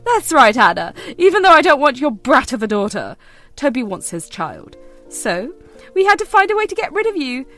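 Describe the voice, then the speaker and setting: A woman voicing a smug, scheming adult woman who taunts her rival loudly over faint background music. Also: high voice, heavily acted. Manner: taunting, mocking, loud.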